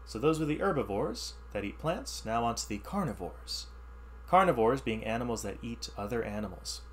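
Guy reading a science book out loud for class, lively up and down voice, stops to explain stuff, faint hum behind. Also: medium pitch, moderate pace. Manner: reads text then explains in plain words. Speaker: teacher reading a science ebook aloud. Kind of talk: read-aloud lesson with asides.

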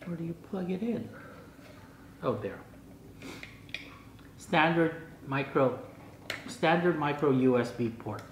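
An older man in his sixties with a fairly high voice talks casually to himself, puzzling things out and reading bits aloud. He is recorded in an echoey room, with clear background noise and small clicks. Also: lively intonation, takes it slowly, pauses often. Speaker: vlogger. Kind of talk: casual unboxing chat, thinking aloud. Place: home dining room, indoors. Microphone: camera across the table. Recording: roomy echo, clear noise, camera across table.

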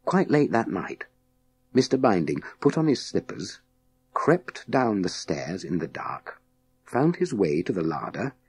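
A man narrating a children's science fiction audiobook in a measured, dramatic storytelling manner with deliberate pauses. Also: fairly low pitch, darkish tone, breathy edge.

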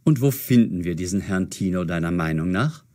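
An actor playing a cautious, curious young man in an audio drama for language learners, measured and clearly enunciated. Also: deep voice, expressive, dark tone. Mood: thoughtful.

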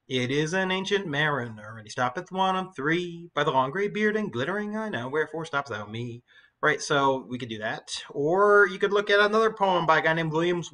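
Guy, middle aged, teaching english class online, bright punchy voice, chatty and kinda halting. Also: fairly high pitch. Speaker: high school English teacher. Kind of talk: informal recorded poetry lesson, explaining and reading aloud.

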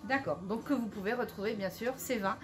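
A middle-aged woman hosting a casual cooking demonstration in a small shop, chatty, filling every pause with "so" and "of course". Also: fairly high pitch. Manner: chatty, keeps saying "donc" and "bien sûr". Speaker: cooking show host.

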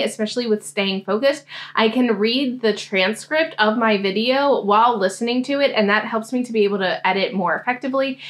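A young American woman giving a casual software tutorial, explaining things step by step. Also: fairly high pitch. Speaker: host, academic coach demonstrating software. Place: indoor room, seated at a desk.

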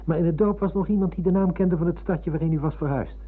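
An actor playing a polite, deferential middle-aged former pupil in a radio play. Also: male voice, high voice, steady tone, quick pace. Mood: narrating, earnest. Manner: courteous, deferential. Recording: muffled phone-like old radio recording.

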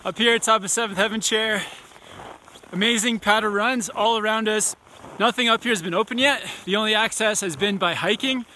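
Young man, upbeat vlogger, high bright voice, outdoors on a mountain, arm's-length action cam, faint wind noise. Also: moderate pace. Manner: upbeat, addresses viewers as "guys", whoops. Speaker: snowboard vlogger. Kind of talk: casual on-mountain vlog narration. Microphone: action camera held at arm's length.

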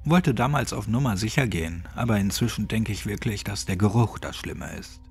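A man's voice: lowish voice, animated, fairly quick, creaky. Man voicing a folksy old man, noisy background. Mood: blunt, urgent. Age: elderly. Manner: folksy, chatty, colloquial rambling. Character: quirky old retired gardener, blind, grimly cheerful survivor. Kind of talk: horror audiobook character voice.

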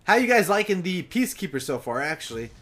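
A young man giving casual live commentary over his own gameplay, talking straight to his viewers, his voice a bit raspy from long sessions. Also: high voice, sing-song, fast, fairly bright tone. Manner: chatty, casual, addresses viewers directly.

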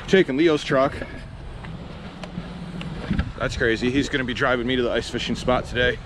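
A young man with an Upper Midwest American accent vlogging casually while ice fishing, upbeat and excited over catches, recorded outdoors on an action camera. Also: mid-range voice, normal speed. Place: frozen lake outdoors. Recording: loud wind noise outdoors.

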